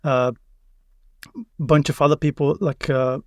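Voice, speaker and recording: A man's voice: on the high side, level tone, a little slow, darkish tone, no echo, studio quality. A man from Finland, a Finnish speaker with a Finnish accent, answering as a podcast guest over a video call in careful English with some restarts. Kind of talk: casual interview chat.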